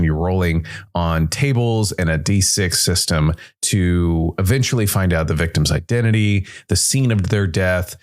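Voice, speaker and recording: A man hosting a tabletop gaming show, explaining how a game works in a measured, informal review style. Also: sits low. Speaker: solo RPG show host.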